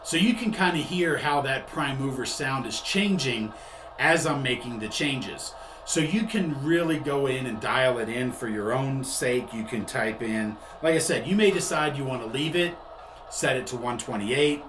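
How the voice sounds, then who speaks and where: A man's voice: mid-range voice, normal speed. A man presenting a tutorial demonstration in a conversational, explanatory way, often rephrasing his points. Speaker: host and product demonstrator. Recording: echoey room with faint background noise.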